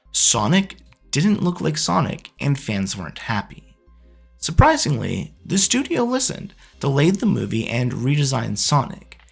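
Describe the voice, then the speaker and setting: A man's voice: medium pitch, vocal fry, fairly bright tone, slightly rough. A man in his thirties presenting scripted opinion commentary as a host, often posing questions and answering them himself. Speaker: video essayist and host.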